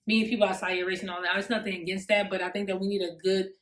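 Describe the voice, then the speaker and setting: A woman's voice: mid-range voice, little pitch movement, racing. A woman in her twenties with an American accent, bantering casually to camera in a teasing, slangy way. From Newark, New Jersey, United States. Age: 20s. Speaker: YouTube couple vlogger. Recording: echoey untreated room.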